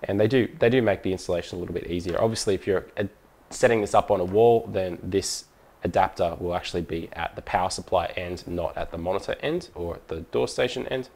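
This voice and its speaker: A man's voice: low-pitched, fast, a little mellow. A man presenting a hands-on product tutorial in an informal, methodical, step-by-step way.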